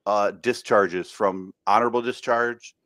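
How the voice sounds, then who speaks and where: A man's voice: lowish voice, fairly even intonation, a bit bright, dry recording. A man co-hosting a podcast, chatting casually and often restarting his words. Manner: halting, repeats and restarts words. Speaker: podcast co-host. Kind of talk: casual chat.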